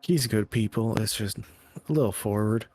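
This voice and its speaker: A man narrating as game master in a halting, hesitant way. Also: lowish voice, darkish tone, no echo, faint music behind. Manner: halting, full of ums and uhs.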